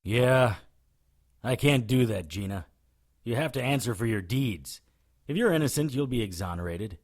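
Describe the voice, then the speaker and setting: A man's voice: mid-range voice, lively intonation, moderate pace, lightly acted. A man playing a determined, hard-boiled witch hunter, narrating an audio drama in the first person. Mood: firm. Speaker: lead character and narrator.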